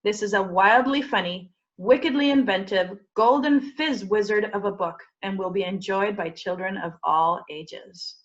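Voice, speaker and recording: Woman, mid-pitched voice, program host, book presentation and casual interview, dull video call sound. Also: moderate pace, few pauses.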